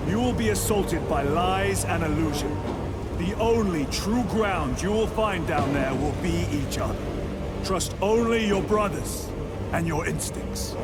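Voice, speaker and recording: An actor playing a stoic, honourable veteran space marine lieutenant in a video game cutscene, measured, formal and commanding. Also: male voice, high-pitched, heavy background noise. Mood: grave, commanding.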